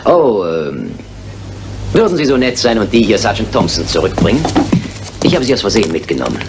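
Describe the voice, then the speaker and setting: Self-assured gentleman detective, low voice, expressive intonation, wry, radio play. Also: over loud music. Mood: wry, confident. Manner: measured, wry, confident. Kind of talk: German radio crime drama acting.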